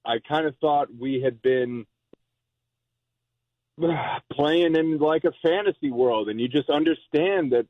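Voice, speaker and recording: A man talking in a phone conversation in a halting way, often repeating his words. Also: a little high, no echo, phone-quality sound. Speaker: prank call target.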